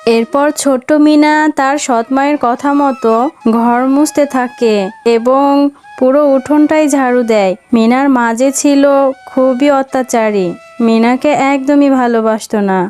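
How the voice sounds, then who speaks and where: A woman's voice: sits high, steady tone, measured pace, a little mellow, soft background music. A woman giving scripted narration for a children's animal story.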